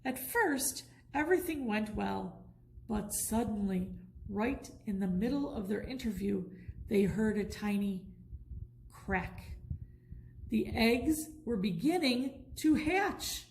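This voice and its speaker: A woman reading a children's bedtime story aloud in an expressive, deliberate storytelling manner. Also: mid-range voice, creaky, poor audio.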